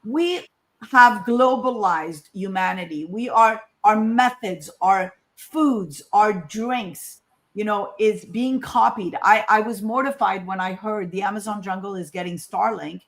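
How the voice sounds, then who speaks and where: A woman's voice: mid-range voice, measured pace, a bit bright. A woman from Morocco speaking as a scientist in an informal talk, emphatic and conversational, often asking rhetorical questions. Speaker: scientist giving a conference talk.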